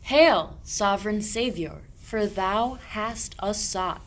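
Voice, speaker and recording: A woman's voice: mid-range voice, slow. A woman playing a pious, earnest medieval shepherd, declaiming rhymed verse in a radio play. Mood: adoring.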